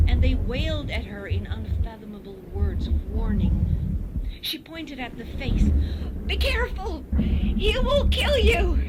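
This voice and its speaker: A woman reading a horror story as a narrator, in a dramatic scripted delivery. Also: mid-range voice. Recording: muffled recording with heavy noise.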